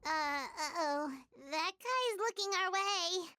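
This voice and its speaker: Fairy sidekick, very high-pitched, wildly lively, excitable, game dialogue. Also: female voice, full character voice. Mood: alarmed. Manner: squeaky, exclaiming, quick reactions. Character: tiny floating fairy companion, excitable and nosy. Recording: game audio with faint music.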